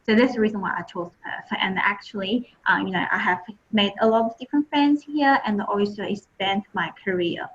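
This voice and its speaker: A woman's voice: medium pitch, moderate pace, hardly any pauses, muffled recording, a little hiss or hum. A woman from Taiwan, not a native English speaker, presenting as an admissions and marketing officer, with many fillers and restarts. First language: Chinese. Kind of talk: online presentation.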